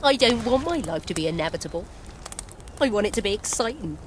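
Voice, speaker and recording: An actress playing an earnest young woman searching for her father and longing for an exciting life. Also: mid-range voice, a little fast, a little hiss or hum, lightly acted. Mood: wistful. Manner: earnest, wistful. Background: game score music.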